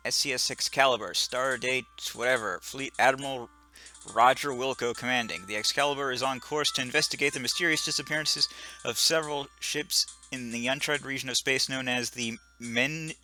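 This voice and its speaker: A man's voice: mid-range voice, fairly even intonation, moderate pace, pushed and bright, soft background music. A man reading the lines of a pompous young male space cadet who daydreams of being captain, stumbling over some words. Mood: self-important.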